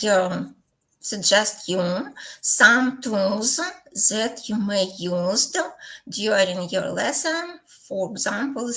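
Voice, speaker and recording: A woman's voice: medium pitch, fairly bright tone, rough recording. A woman with a Ukrainian accent presenting a teaching webinar, hesitant, with many fillers and non-native phrasing.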